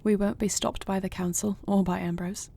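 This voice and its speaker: A woman playing an affectionate, dutiful queen in an intimate fantasy audio roleplay, speaking softly and formally with measured, murmuring diction. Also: mid-range voice, flat delivery, creaky. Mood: flirtatious, tender. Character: affectionate, dutiful dragon queen, newly wed to a princess.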